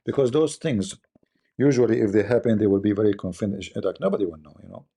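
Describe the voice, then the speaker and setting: Gravelly blunt man with an Arabic accent. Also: on the low side, quick pace, warm tone. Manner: blunt, scornful, repetitive. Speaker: host. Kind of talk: live debate stream talk.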